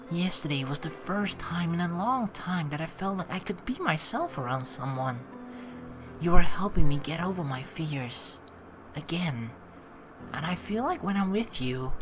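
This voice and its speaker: Animated low-voiced woman over game music. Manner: reactive, animated. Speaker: let's play host reading a visual novel aloud. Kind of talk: gameplay commentary mixed with expressive reading aloud.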